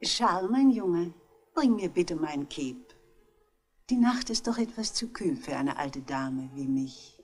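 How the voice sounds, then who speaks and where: A woman's voice: on the low side, animated, takes it slowly, a little mellow, husky, exaggerated voice. An older woman playing a genteel, cunning, miserly old lady in a 1960s radio crime drama, with theatrical phrasing. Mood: composed.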